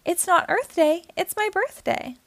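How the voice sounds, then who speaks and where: Woman acting silly young male monkey, proud, insistent, childlike, very high lilting pitch, storybook character. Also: lively intonation, measured pace, creaky, fairly bright tone, no room sound, full character voice.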